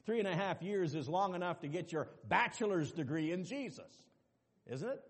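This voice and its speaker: A man preaching a sermon as pastor, dropping to quiet asides and rising to loud, emphatic calls to the congregation. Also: sits high, lively intonation, moderate pace, slightly rough. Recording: long reverb with faint music behind.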